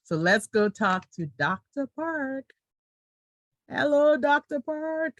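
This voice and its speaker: A woman's voice: high voice, expressive, slow pace, dry recording. A woman hosting an interview, friendly and playful, laughing often and teasing her guest. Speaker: podcast host.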